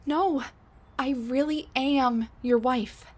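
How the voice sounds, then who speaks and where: A woman's voice: high-pitched, fairly even intonation, takes it slowly. A woman playing a flustered time-traveling scientist wife. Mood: flustered, pleading. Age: middle-aged. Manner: stammering, flustered, many fillers and restarts; hushed muttering to herself. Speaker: audio roleplay performer. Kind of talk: audio roleplay drama.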